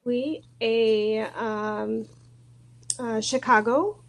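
American lady practicing french, english speaker, super slow, kinda high voice, nervous n shy, lots of searching for words. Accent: American accent in French. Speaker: beginner French learner. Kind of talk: halting conversation practice.

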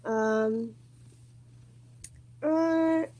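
A woman's voice: high-pitched, extremely slow, mellow, low-quality recording. A woman with an American accent, a native English speaker and beginner in French, hesitantly and shyly searching for each word. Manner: hesitant, nervous, shy, searching for words. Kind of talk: halting conversation practice.

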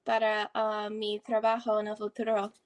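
A woman's voice: medium pitch, completely flat delivery, unhurried, muffled recording. A woman speaking Spanish as a second language, hesitant, with many fillers. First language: not Spanish. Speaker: Spanish learner. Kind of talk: casual conversation.

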